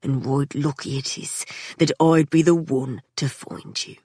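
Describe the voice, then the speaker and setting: A smug, menacing gang leader drawls low and unhurried, as a woman narrator puts him on,. The voice sits low and dry, right up against the mic. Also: fairly even intonation, dark tone, breathy edge, no echo, exaggerated voice. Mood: drawling. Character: calm, menacing man, smoky drawl, arrogant gang leader.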